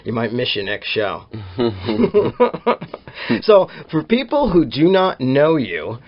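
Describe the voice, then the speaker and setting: A man's voice: medium pitch, huge pitch swings, slow pace. Man, podcast host, casual interview, joking banter, slightly muffled lo-fi sound. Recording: slightly dull, lo-fi podcast audio.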